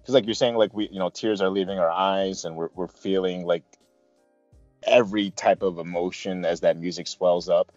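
A man's voice: low-pitched, fairly even intonation, normal speed, darkish tone. A man co-hosting a film review, chatting casually and enthusiastically with gushing, emphatic repetition. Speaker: co-host of a film review show. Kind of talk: casual enthusiastic movie discussion. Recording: dull lo-fi roomy sound, music behind.

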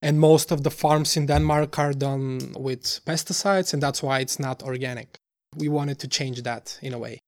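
A man's voice: medium pitch, fairly even intonation, normal speed. A man, a startup co-founder answering interview questions earnestly, often hunting for words. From Bulgaria. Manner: earnest, searching for words, many restarts.

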